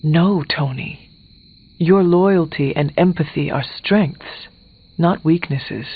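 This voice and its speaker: A solemn, high-pitched young hero. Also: male voice, slightly rough, heavily acted. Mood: calm consolation. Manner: measured, formal, avoids contractions. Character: solemn, formal young male demon-hunting hero, earnest and blunt. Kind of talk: video game voice acting. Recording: thin phone-like game audio, music behind.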